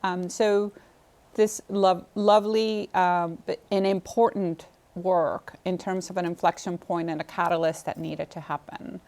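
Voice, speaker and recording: A woman's voice: fairly low pitch, little pitch movement, a little slow, darkish tone. A woman hosting a television interview in a studio, speaking earnestly and wordily, with affirming remarks. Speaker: TV talk show host.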